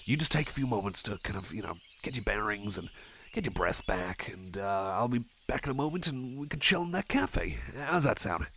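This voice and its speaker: A man's voice: fairly low pitch, lively intonation, a bit bright, a little hiss or hum. A man playing a shy, friendly cyborg chum in an ASMR roleplay, stammering, repeating himself and putting himself down. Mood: friendly, rambling. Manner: stammering, halting, repeats phrases, self-effacing. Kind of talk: ASMR roleplay, personal attention.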